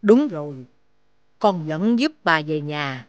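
A woman's voice: sits low, lively intonation, slow pace, no room sound, dull audio. A woman voicing a sweet-talking elderly grandmother in a children's cartoon, coaxing, with a southern Vietnamese accent. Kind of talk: animated children's cartoon dubbing.

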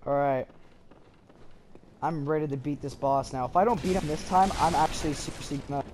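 Excitable young man yelling at a game. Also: on the high side, a little fast, slight background noise, rough recording. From Canada. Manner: excitable, swears and yells at the game. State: slightly hoarse from yelling. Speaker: gameplay streamer. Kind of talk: casual gameplay commentary.

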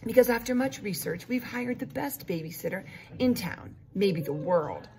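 A woman voicing a firm, resolute father in a children's story, speaking deliberately and emphatically. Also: mid-range voice, expressive, creaky, a little mellow. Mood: reassuring. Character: firm, resolute father placating his daughter. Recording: noticeable room noise.

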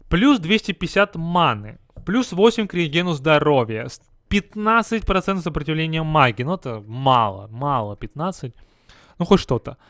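A young man with a fairly high voice talks through a game update, chatty and joking. He keeps turning to the viewer with rhetorical questions. The sound is close and dry, with no room around it. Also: no room sound. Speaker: gaming video host. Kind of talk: casual patch review commentary.

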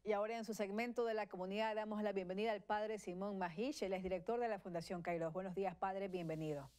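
A woman in her thirties, a news anchor in a TV studio, speaking in a formal, measured way. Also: mid-range voice, steady tone, darkish tone. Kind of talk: TV news interview.

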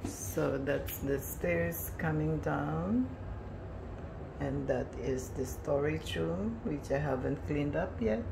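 A woman giving a casual, halting tour of her newly finished basement, filming on a phone indoors. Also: sits low, vocal fry, background noise. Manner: hesitant, many restarts and fillers. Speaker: home vlogger. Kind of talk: casual home tour narration.

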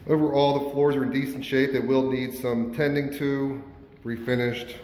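A man's voice: mid-range voice, normal speed. Man giving a tour of empty rooms. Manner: relaxed, informal, with small self-corrections. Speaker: real estate agent giving a home tour. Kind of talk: casual walkthrough narration. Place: empty house, bare rooms. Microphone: handheld phone camera. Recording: echoey empty rooms, faint background noise.

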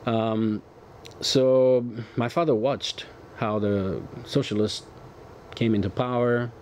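A man's voice: medium pitch, fairly even intonation, slow pace, darkish tone, a little hiss or hum. A man in his fifties from Romania with a Romanian accent, a guest in a studio interview, explaining at length in an earnest way with many fillers. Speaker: guest, author's son. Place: dark TV studio set, indoors.

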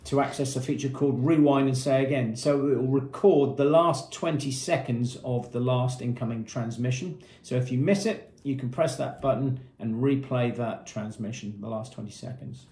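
A man in his fifties giving a matter-of-fact product demonstration, explaining features with hesitant pauses. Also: medium pitch, warm tone. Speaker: product presenter for a marine shop. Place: small indoor room with painted block walls. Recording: echoey small room.

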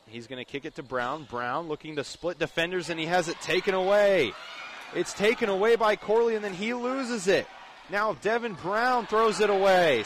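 A young man doing live radio play-by-play commentary of a basketball game as the announcer. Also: on the high side. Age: young adult. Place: indoor high school basketball gym. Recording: clear gym noise behind commentary. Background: game noise, bouncing basketball.

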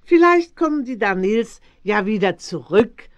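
A woman's voice: medium pitch, very animated, a little slow, dull audio. Fretful motherly farmer's wife, swooping voice. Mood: fussing, motherly. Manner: plaintive, fussing, emotional. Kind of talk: German radio play acting for young listeners.

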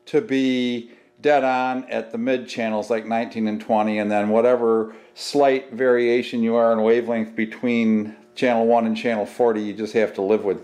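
A man's voice: medium pitch, darkish tone, no room sound. A middle-aged man giving a casual, folksy hands-on how-to, thinking aloud as he works. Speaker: DIY workshop host. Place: outdoors by a house and in a barn workshop.

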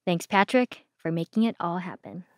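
A woman's voice: lowish voice, animated, normal speed, dry recording. A woman hosting a podcast, talking in a friendly conversational way. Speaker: podcast host.

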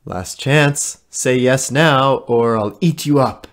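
Male shapeshifting fae tempter, played by a man, mid-pitched, seductive and taunting, for audio drama. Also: slow pace, close to an everyday voice. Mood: menacing, tempting. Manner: coaxing, taunting. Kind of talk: audio play acting.

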